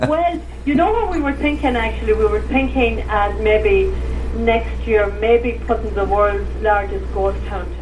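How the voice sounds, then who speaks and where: A woman's voice: a little high, a little slow, a little mellow. A woman with an Irish accent, chatting as a guest in a radio interview about her tours, in a rambling, friendly way. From Ireland. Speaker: guest, tour organizer. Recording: echoey distant call with noise.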